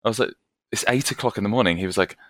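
A British man, a band vocalist guesting on a podcast, casually telling anecdotes and acting out the dialogue in an animated way. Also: on the low side, very fast. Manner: animated, acts out quoted dialogue.

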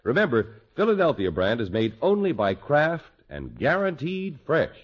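A man's voice: mid-range voice, huge pitch swings, breathy edge. Emphatic, swooping male radio announcer, muffled. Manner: emphatic, salesmanlike, deliberate phrasing. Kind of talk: scripted 1950s radio commercial read. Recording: muffled old radio broadcast.

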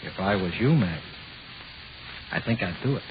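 Low-voiced, taunting gangster ghost. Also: male voice, expressive, normal speed. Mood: ominous, coaxing. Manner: tough slangy gangster talk, laconic. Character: ghost of an executed convict, taunting, coldly persuasive. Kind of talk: 1940s radio horror acting. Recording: muffled, hissy 1940s radio recording.

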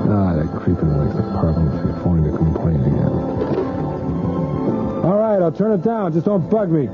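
An actor playing an obsessed, lovesick man who narrates his own brooding thoughts in an old radio drama. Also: mid-range voice. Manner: brooding inner monologue turning frantic. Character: obsessed lovesick man unraveling over a lost woman. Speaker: lead character and narrator. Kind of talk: 1980s radio drama acting. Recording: dull lo-fi radio recording with loud music.

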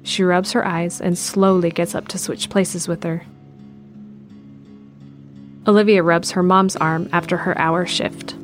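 A woman's voice: fairly low pitch, flat delivery, a little slow, pauses often. A woman reading scripted narration for an audio drama. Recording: music behind the voice.

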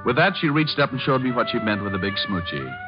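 An actor playing a suave, wisecracking private detective in a 1950s radio drama, wry, slangy and hard-boiled. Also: male voice, medium pitch, sing-song, quick pace, natural voice. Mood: wry narration. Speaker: lead actor and narrator. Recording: muffled old radio recording with music.

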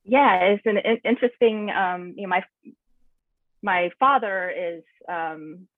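A woman's voice: mid-range voice, a little slow, no echo. A woman being interviewed as a guest, speaking thoughtfully and searching for her words. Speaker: naturalist and author, interview guest. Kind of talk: reflective interview answers. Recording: tinny phone-like line.

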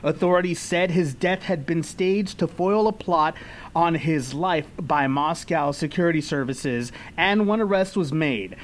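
A man from Los Angeles reads the day's headlines on a casual podcast, his voice sitting a little high. He keeps it playful, laughing and stumbling over words as he goes. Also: a little hiss or hum. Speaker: podcast host reading news. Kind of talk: casual news reading and banter.

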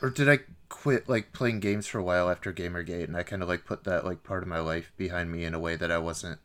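A man co-hosting a podcast, chatting casually in a halting, musing way. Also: deep voice, steady tone, a bit bright. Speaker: podcast co-host. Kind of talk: casual chat.

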